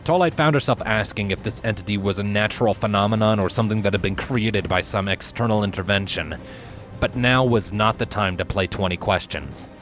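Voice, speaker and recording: A man's voice: fairly low pitch, a little fast, a bit bright. A man reading a scripted fantasy story aloud as its narrator. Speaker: fan fiction reader, narrator. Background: background music.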